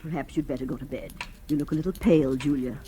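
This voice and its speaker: A woman's voice: a bass voice, fast talker, mellow, a little hiss or hum. A woman acting a motherly, fussing guardian who is tense beneath her sweetness, in a 1940s radio drama. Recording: vintage 1940s radio recording, faint music.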